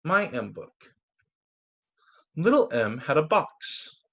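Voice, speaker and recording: Animated man reading children's stories. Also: a little high, takes it slowly. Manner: expressive, with brief casual asides. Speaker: teacher reading picture books to children. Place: remote video story time.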